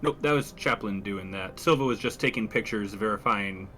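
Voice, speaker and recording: A man's voice: fairly low pitch, moderate pace, sharp tone, soft background music. A man playing a tabletop roleplaying game, casually explaining what his character is doing.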